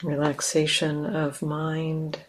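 A woman teacher leading a guided meditation in a gentle, unhurried manner, leaving long pauses between phrases. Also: sits low, completely flat delivery.

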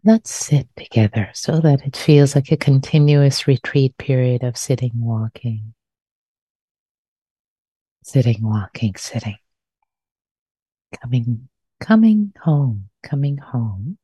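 A woman guides a meditation in a soft, breathy and mellow voice. She takes her time, speaking very slowly and gently repeating short phrases. The sound is dry and intimate. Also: very deep voice, animated, dry recording. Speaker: meditation teacher.

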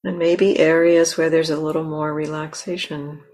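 A woman meditation teacher leading a guided meditation in a gentle, unhurried manner, often pausing mid-phrase. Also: deep voice, flat delivery. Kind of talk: guided meditation, slow with long pauses. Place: meditation center.

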